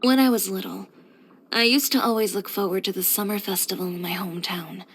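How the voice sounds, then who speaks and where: A woman acting as a self-assured young boutique tailor from a video game. She is blunt and headstrong yet composed, speaking in a mid-pitched voice with a matter-of-fact, dryly confident delivery. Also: fairly even intonation, brisk, a bit bright, slight background noise, lightly acted. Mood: nostalgic. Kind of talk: video game character voice lines.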